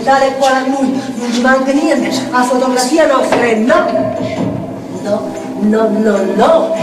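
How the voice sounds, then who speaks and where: A woman's voice: on the high side, lightly acted. Actress as volatile Neapolitan slum woman, theatrical dialect rant, mocking, incantatory, roomy distant stage sound, prominent music. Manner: incantatory, repeating phrases, swinging from mutter to shout.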